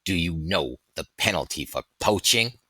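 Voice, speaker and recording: A burly, boisterous former soldier from a storybook, played by a man reading aloud. His voice is very low and forceful, with a hearty, mocking, declamatory swagger. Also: lively intonation, moderate pace, husky, no echo, clean studio sound, exaggerated voice. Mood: triumphant, teasing. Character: big boisterous red-bearded bald jester and former soldier. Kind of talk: storybook character voice in audiobook reading.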